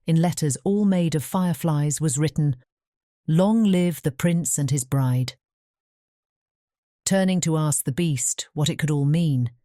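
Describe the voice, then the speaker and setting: A woman narrating a scripted fairy tale audiobook as a measured storyteller. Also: sits low, dark tone, dry recording, clean studio sound.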